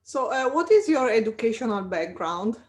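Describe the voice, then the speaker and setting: Bright-voiced woman podcast host. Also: a little high, very animated, normal speed. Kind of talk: scripted intro then interview questions.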